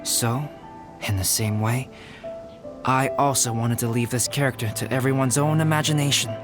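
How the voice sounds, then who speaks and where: An actor playing a composed, logical young artist in an anime-style video game, speaking in a measured, courteous and articulate way. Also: male voice, medium pitch, steady tone, music in the background, close to an everyday voice. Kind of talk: anime-style game acting, formal speech.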